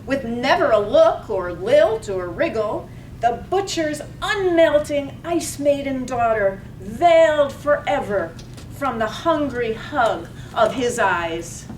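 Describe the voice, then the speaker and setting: A woman's voice: lively intonation, takes it slowly, a little mellow. A woman narrating a poetic radio drama in a dramatic reading. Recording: roomy, distant microphone, faint rumbly noise.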